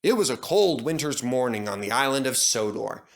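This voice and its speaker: Male narrator for children's stories, scripted read, expressive and varied pitch. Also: normal speed. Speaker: storyteller.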